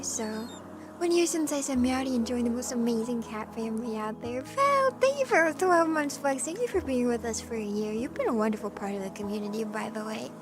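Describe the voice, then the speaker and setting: A woman streamer chatting casually with her live chat, with a hoarse voice and a playful cat persona. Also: a little high, background noise. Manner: chatty and playful, often clearing her throat. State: hoarse from allergies. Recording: music playing in the background.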